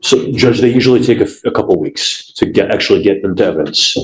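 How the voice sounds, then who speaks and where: A man with a fairly low voice argues as a prosecutor, his words echoing in the courtroom. He explains deferentially, addressing the bench as he goes. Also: expressive, fast talker. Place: indoor courtroom. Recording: echoey courtroom audio.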